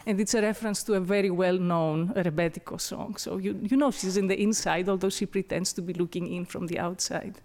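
A woman's voice: lowish voice, fairly even intonation, a little fast, hardly any pauses, a little mellow. Chatty woman professor explaining a poem. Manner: chatty and explanatory, with small laughs and restarts. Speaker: professor of Byzantine history and classics. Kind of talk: informal talk introducing a poem.

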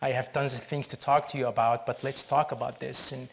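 A man speaks as a film producer at an interview. His voice is gravelly and sits at a middle pitch. He talks earnestly, restarting sentences and filling pauses as he goes. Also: level tone. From Syria. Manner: halting, many restarts and fillers, earnest. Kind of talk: panel interview answer.

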